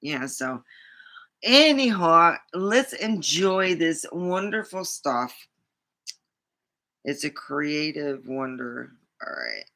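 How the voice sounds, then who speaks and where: A woman's voice: low voice, lively intonation, takes it slowly, pauses often, sharp tone. A woman vlogger chatting casually and rambling, with many fillers and restarts.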